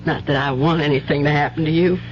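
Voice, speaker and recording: Actress as weary American wife, muffled radio recording. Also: female voice, low-pitched, steady tone, fast talker, lightly acted. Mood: hurt, wary. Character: weary, wary working-class wife and mother. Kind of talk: old-time radio drama acting. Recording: dull, noisy 1970s radio recording with hum.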